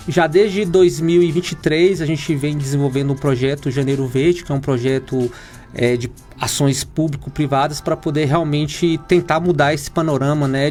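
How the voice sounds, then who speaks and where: A man, an oncologist from Minas Gerais with a Brazilian accent, explains things in a halting way, full of fillers and restarts. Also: on the high side, a little mellow. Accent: Brazilian Portuguese, Minas Gerais. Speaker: oncologist guest. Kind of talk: explanatory interview talk.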